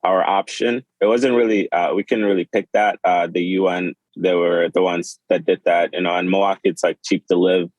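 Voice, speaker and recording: A man in his twenties, from Sudan and Kenya, tells his story as a podcast guest. His voice sits very low and stays flat, with many fillers and restarts. The sound is dry and close. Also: moderate pace, hardly any pauses, no echo. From born in Sudan, raised in Kenya. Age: 20s. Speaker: podcast guest, clothing designer. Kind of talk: casual interview.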